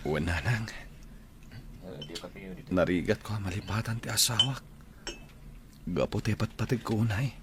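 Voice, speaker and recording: A man's voice: fairly low pitch, expressive, moderate pace, pauses often, background noise, natural voice. A man playing an anguished young husband who has lost his wife, pleading melodramatically with an Ilocano Filipino accent in a radio drama. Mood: heartbroken, subdued. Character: anguished grown son, newly bereaved husband.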